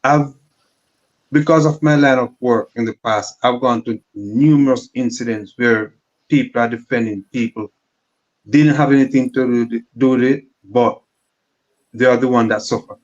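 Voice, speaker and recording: A man's voice: medium pitch, fairly even intonation, normal speed, dull audio. A man on a discussion panel, speaking emphatically from his work experience and agreeing often. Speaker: panel guest.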